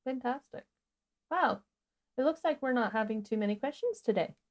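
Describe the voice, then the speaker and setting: A woman's voice: a little high, expressive, normal speed. A woman co-hosting a casual livestream, speaking in brief, agreeable responses. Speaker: livestream co-host.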